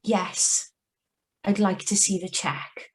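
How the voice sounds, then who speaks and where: A woman playing a young wife who calmly confesses to murder in a live reading of a radio thriller. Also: mid-range voice, takes it slowly, lightly acted. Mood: cool. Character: young wife Patrice, calm remorseless killer confessing. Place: video call reading from home.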